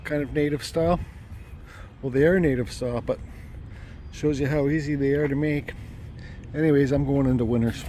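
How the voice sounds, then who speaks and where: Mellow-voiced man vlogging from his car. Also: medium pitch, fairly even intonation, normal speed, long pauses. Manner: rambling, self-correcting, swears casually. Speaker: road trip vlogger. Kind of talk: casual chat while driving. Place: inside a car on the road. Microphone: phone camera mounted on the dash. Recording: faint car noise behind.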